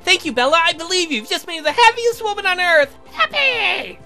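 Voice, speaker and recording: A man's voice: extremely high pitch, expressive, fairly quick, vocal fry, pushed and bright, faint music behind, voice pushed up, full character voice. A man voicing a willful young lady in a visual novel, the adoring bride of a vampire, sweet, eager and self-assured. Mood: joyful. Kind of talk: voiced visual novel dialogue.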